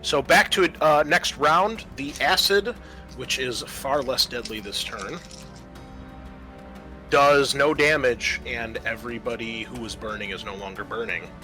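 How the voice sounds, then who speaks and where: A man's voice: mid-range voice, a little slow, pushed and bright, soft background music. A man acting as game master, running a tabletop roleplaying session for players.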